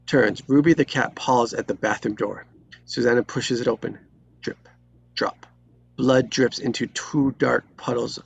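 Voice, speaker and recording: A man narrates screenplay action with a husky, creaky voice at a middle pitch. His phrasing is terse and deliberate, suited to dramatic scripted narration. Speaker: stage directions narrator.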